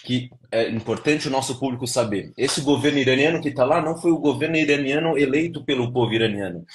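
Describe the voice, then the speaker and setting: A man's voice: mid-range voice, a little hiss or hum. A young man from São Paulo with a São Paulo accent, a guest on a live stream, explaining steadily in a lecturing way. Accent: São Paulo Portuguese. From Brazil, São Paulo. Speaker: interview guest.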